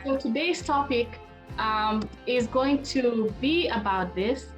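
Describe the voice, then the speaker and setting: A woman's voice: a little high, slow, faint music behind. A middle-aged woman hosting a webinar in an informal, conversational way, speaking straight to her listeners. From Kenya. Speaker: webinar host and presenter, marriage therapist. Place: online video call.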